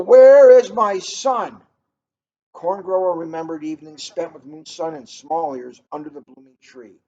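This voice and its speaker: A man reading a children's story aloud in an expressive, dramatic way, drawing out key words for emphasis. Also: fairly high pitch, breathy edge. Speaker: storybook reader. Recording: noisy, dull lo-fi recording.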